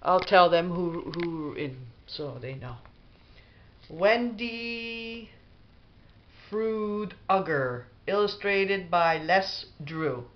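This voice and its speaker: A woman's voice: lowish voice, extremely slow, highs cut off, slight background noise. A middle-aged woman chatting casually with a child, as a mother filming at home. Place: small bedroom at home. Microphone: handheld camera mic.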